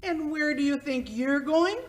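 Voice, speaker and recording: Woman as high-voiced stern matron. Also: a little slow. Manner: stern, officious. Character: stern, officious washroom matron. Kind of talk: old-time radio drama acting.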